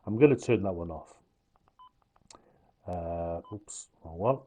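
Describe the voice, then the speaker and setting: A man's voice: sits low, expressive, slow pace, mellow, highs cut off. A man explaining how a gadget works step by step, in an informal hands-on review style. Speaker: product reviewer.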